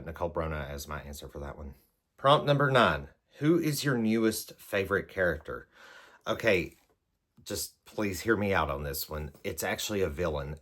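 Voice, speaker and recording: A man's voice: deep voice, fairly bright tone. A man vlogging as a book reviewer, talking casually to camera in a rambling, hesitant way as he works through prompts. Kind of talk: casual chat to camera, answering numbered prompts.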